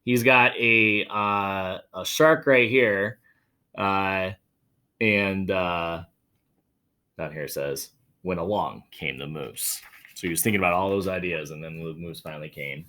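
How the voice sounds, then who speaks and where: A man's voice: low-pitched, measured pace, clean tone. A man hosting a picture-book read-aloud for children, telling the story expressively and adding chatty asides to his young listeners. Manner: expressive, dramatic storytelling, addressing children directly. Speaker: children's read-aloud host, teacher or school staff.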